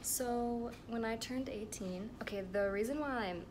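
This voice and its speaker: A woman's voice: a little high, measured pace. A late-teen woman talking into a camera at arm's length in her bedroom, casually telling a rambling story full of fillers and restarts. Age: late teens. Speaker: vlogger. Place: bedroom at home with air conditioning running. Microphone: phone or camera at arm's length. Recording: air conditioner hum in the background.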